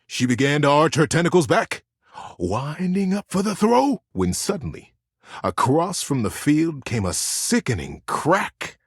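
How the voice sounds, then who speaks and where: A man reading a children's story aloud in an expressive, suspenseful, dramatic storybook style. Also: on the high side, unhurried, breathy edge, dry recording, studio quality.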